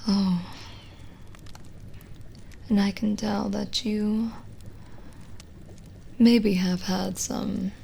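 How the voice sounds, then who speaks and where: Woman doing a soft witch for asmr roleplay, super slow n whispery, bit of creaky voice, kinda teasing and intimate. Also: mid-range voice, steady tone, a little hiss or hum, exaggerated voice. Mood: tender, reassuring. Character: lonely gentle teasing witch, female, reading palms.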